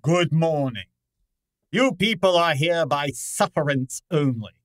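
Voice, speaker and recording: An actor playing a pompous, cantankerous gourmet detective in a radio drama, with imperious, formal diction. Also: male voice, a little high, lively intonation, takes it slowly, no echo, studio quality. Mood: haughty, brusque. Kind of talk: old-time radio drama acting.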